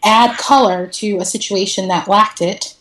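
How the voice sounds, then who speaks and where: A woman's voice: medium pitch, fairly even intonation, unhurried, a bit bright. A woman speaking as a podcast guest, telling stories casually in a joking, candid way. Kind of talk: casual conversational storytelling. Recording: roomy echo, faint noise.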